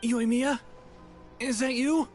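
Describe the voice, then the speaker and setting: A man's voice: very high voice, fairly even intonation, slow pace, a little mellow, faint music behind. A man playing a timid, apologetic and grateful fugitive in a video game, speaking hesitantly and earnestly. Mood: nervous.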